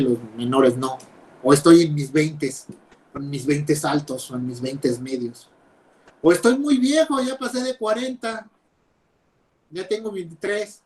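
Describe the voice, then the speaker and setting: A young man preaching a sermon over a video call, emphatic, with loud stresses and quiet drops. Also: high voice, rough recording. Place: home, speaking over videoconference.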